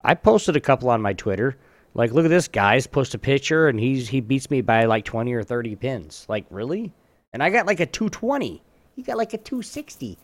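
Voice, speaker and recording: A man hosting a trivia game in a casual chat, often halting and restarting his phrases. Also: mid-range voice, big pitch swings, no room sound. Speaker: podcast host running a trivia game.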